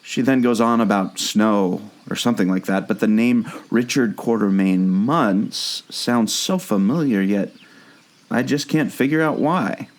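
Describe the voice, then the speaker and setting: Casting: earnest jungle-guide turned amateur detective , played by a man, slightly deep voice, storytelling delivery for radio theater. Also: a little slow, close to an everyday voice. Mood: puzzled, intent. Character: earnest, obsessive amateur sleuth, male former jungle skipper. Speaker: podcast co-host. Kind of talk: scripted audio drama dialogue.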